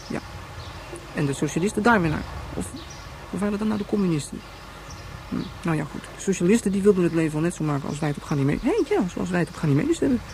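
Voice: mid-range voice, darkish tone, a little hiss or hum, natural voice. An actor in a radio drama playing a naive, chatty child who muses aloud in childlike, repetitive phrases.

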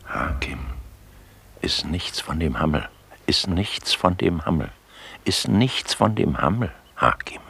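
A bodiless phantom voice whispers its warning over and over. It is extremely deep, slow and breathy, rising and falling widely. It sounds eerie and comes from nowhere. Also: male voice, very animated, exaggerated voice. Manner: hushed, repetitive, eerie.

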